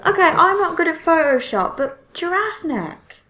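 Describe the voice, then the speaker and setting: A woman's voice: very high voice, sing-song, normal speed, very noisy. A woman hosting a podcast in casual chat, telling things expressively with frequent self-interruptions and exclamations. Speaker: podcast host.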